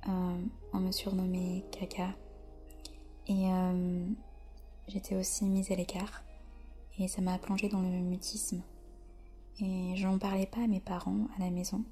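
Soft whispery french woman, hesitant, dark mellow voice, faint music under her. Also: on the low side, completely flat delivery. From France. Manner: soft-spoken, hesitant, many pauses. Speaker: guest. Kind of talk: podcast interview.

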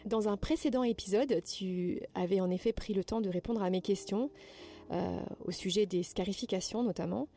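A woman hosting a podcast, gently reading out prepared interview questions in a measured way. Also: mid-range voice, vocal fry.

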